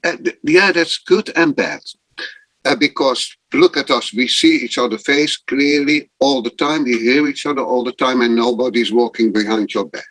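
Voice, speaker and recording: A man, a guest improviser, speaking casually and thoughtfully over a video call, with frequent pauses. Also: medium pitch, a bit bright, no room sound, poor audio. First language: not German. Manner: slow, thoughtful, pausing often.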